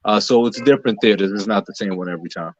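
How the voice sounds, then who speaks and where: An American man answering interview questions conversationally as a guest, explaining in a measured way with pauses between phrases. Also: on the low side, dry recording, muffled recording. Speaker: playwright, director and actor interviewed as guest.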